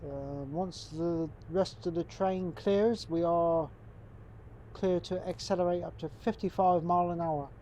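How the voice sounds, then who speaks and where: A man's voice: high-pitched, unhurried, a little hiss or hum. A man with a congested, stuffed-up voice from a cold, chatting casually to viewers while playing a game. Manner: relaxed, chatty, talks to viewers as folks. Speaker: gaming channel host. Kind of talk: casual gameplay commentary.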